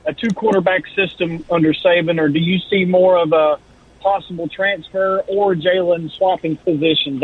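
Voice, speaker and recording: A man's voice: a little high, breathy edge. A man calling in to a sports radio show with earnest, organized questions. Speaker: caller. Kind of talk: phone-in question. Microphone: telephone. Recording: tinny phone line.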